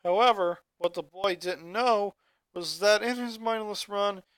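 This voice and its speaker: A reader narrating a fanfiction story aloud in steady, earnest storytelling. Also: medium pitch, unhurried, clean tone, dry recording.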